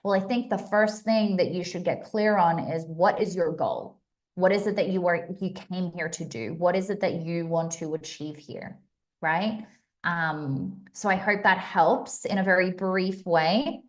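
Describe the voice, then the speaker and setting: A woman's voice: lowish voice, a little slow. Woman, young adult, Australian-American accent, upbeat, chatty, live stream host. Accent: Australian mixed with American. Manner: chatty and upbeat, many fillers and restarts. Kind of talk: casual live stream chat to viewers.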